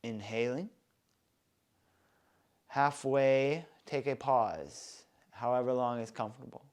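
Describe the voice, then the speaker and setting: A man softly leading a guided breathing meditation, with calm, soothing instructions. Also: mid-range voice. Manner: slow, soft, calm and soothing guidance.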